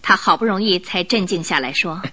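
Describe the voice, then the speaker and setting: A woman narrating a Mandarin radio drama as an expressive storyteller of a detective tale. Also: lowish voice, brisk, breathy edge.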